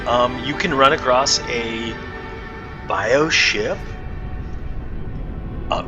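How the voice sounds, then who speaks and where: Rambling late-night gamer over loud music. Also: male voice, medium pitch. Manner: rambling, hesitant, many fillers and restarts. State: tired, up late. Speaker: gaming channel host. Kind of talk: casual gameplay commentary. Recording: game music loud behind the voice. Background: loud game music.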